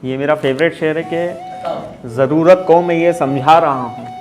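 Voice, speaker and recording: A poet at an open mic reciting a ghazal, declaiming each couplet and repeating lines with rising emphasis, with an instrument playing along behind him. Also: male voice, a little high, unhurried, soft background music. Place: open mic stage in Mumbai. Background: musical instrument playing along.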